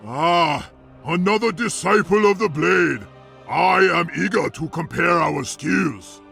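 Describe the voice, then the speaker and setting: An actor playing a fierce, honorable orc warrior in a video game, declaiming solemnly in a rough, gravelly voice. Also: male voice, sits high, slow, fairly bright tone, soft background music, heavily acted. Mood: grave, weathered. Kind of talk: video game character voice lines.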